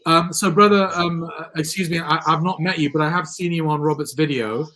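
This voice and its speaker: Man hosting a live show, voice going hoarse. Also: a little high, steady tone, a little fast, sharp tone. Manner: hesitant, frequent ums and restarts. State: losing his voice. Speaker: livestream host. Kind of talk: live election-night discussion. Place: studio.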